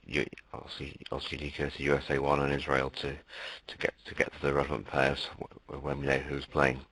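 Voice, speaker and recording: A man's voice: rumbling low, completely flat delivery, quick pace, fairly bright tone, breathy edge, dry recording. A man giving live bridge commentary, explaining patiently and often repeating words as he thinks.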